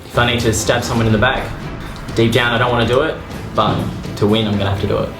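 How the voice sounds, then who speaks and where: A man's voice: mid-range voice, fairly even intonation, fast. A twenty-five-year-old man giving a casual contestant confessional. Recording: roomy echo under music.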